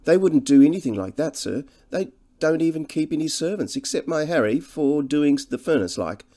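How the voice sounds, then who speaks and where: A man voicing an anxious, humble countrywoman, pitched high. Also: moderate pace. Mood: fretful, preoccupied. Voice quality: monotonous. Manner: deferential, fretful, hesitant, repetitive. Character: anxious, humble working-class English countrywoman, fretful mother.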